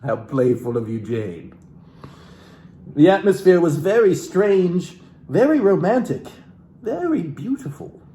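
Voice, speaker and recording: Casting: man, mellow and fairly high-voiced, florid mock-genteel host reading a book aloud for laughs, dramatic pauses. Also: very animated. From Alaska. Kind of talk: comedic theatrical book reading with asides to viewers. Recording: roomy, echoey room sound.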